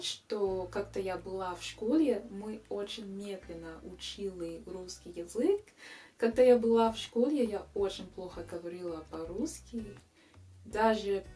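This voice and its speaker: A native English-speaking woman in her twenties, with an English accent, answers viewer questions in an unhurried, careful vlog voice. Her pitch sits in the middle range, and she pauses often as she searches for words. Quiet guitar music plays underneath. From England. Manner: slow, careful learner's speech with frequent hesitations. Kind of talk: casual Q&A vlog.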